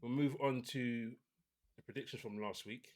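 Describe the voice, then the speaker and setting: A man hosting a casual football podcast, talking in a relaxed, opinionated way with many restarts. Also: medium pitch, normal speed, heavy background noise. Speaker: podcast host.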